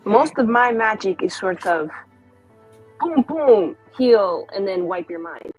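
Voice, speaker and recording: Brief: mid-pitched woman, tabletop player, quick joking asides. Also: expressive, husky, lo-fi sound, soft background music. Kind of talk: casual planning chat.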